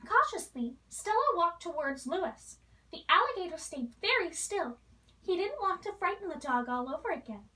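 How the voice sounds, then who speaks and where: A woman reads a children's story aloud in an expressive storybook style, playing the role of a princess. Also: very high voice, normal speed, recorded from a distance, poor audio.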